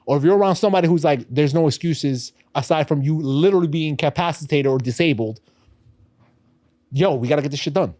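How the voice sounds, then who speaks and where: A man's voice: a little high, quick pace, dark tone, dry recording. A man in his thirties with a New York accent, co-hosting a podcast in casual, emphatic, slangy chat. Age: 30s. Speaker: podcast co-host. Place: podcast studio.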